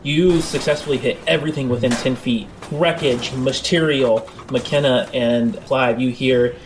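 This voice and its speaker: A man's voice: medium pitch, steady tone, unhurried. A man improvising the narration of a tabletop role-playing game as game master. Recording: clear background noise.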